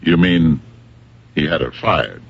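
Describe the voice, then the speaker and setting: An actor playing a dogged, plain-spoken police captain who questions in a halting, probing way, in a radio drama. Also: male voice, rumbling low, expressive, creaky, slightly rough, exaggerated voice. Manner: halting, probing, deceptively bumbling. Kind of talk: 1970s-80s radio drama acting. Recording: dull lo-fi old radio recording.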